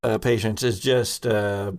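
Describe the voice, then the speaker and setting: Creaky-voiced man running a tabletop game. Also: lowish voice, fairly even intonation, measured pace, darkish tone. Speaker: game master. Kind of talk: casual tabletop game narration.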